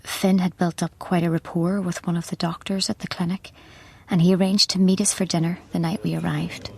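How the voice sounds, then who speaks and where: An actress with an Irish accent playing a distressed, blunt, sceptical woman in a radio play, her voice breathy and husky. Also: lowish voice, level tone, brisk, no echo. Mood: numb, sorrowful. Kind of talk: radio play acting with first-person narration.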